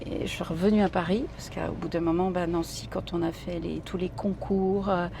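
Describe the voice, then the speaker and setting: A woman's voice: on the low side, fairly even intonation, moderate pace, creaky, background noise. A middle-aged woman whose first language is Italian, a singer chatting at length with many fillers in a casual interview. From Italy. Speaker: guest singer.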